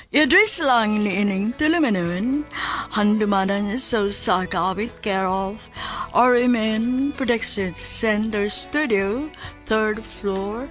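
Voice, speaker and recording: A woman's voice: medium pitch, fairly bright tone. A woman with a Cebuano Filipino accent presenting a radio drama in scripted announcer style. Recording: music bed behind announcer.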